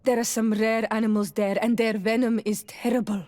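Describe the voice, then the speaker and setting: A woman's voice: fairly high pitch, level tone, a little fast, a little mellow, natural voice. A woman playing a proud, sardonic native companion who knows the land, in a video game. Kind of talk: video game dialogue acting.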